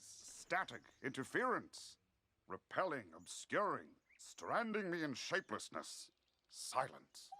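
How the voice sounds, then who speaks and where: An actor voicing a brooding, shapeless void entity in a fantasy game, with a halting, theatrical, musing delivery. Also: male voice, mid-range voice, bright, forceful tone, exaggerated voice. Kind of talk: scripted fantasy game dialogue. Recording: game audio over background music.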